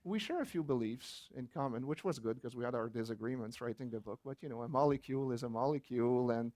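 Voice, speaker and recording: A man's voice: medium pitch, fast, a little mellow, no echo. A man with a French Canadian accent, a native French speaker from Quebec, giving a chatty, anecdotal book-launch talk with light chuckles and fillers. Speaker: author and guest speaker.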